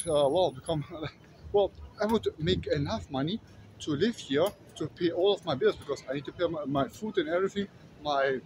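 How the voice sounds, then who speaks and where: Brief: thirties man with German accent, somewhat high voice, rambling walk-and-talk vlog, phone held at arm's length outdoors. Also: noisy background. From Kazakhstan. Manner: rambling, many restarts and fillers, walking while talking. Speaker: vlogger. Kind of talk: casual walking vlog talk. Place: city streets at night, outdoors.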